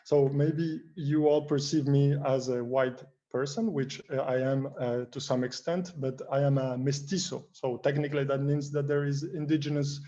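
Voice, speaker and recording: Man, careful panelist on a video call, mellow flat voice, muffled sound. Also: medium pitch. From Argentina. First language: Spanish. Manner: careful, precise, with frequent "uh" pauses.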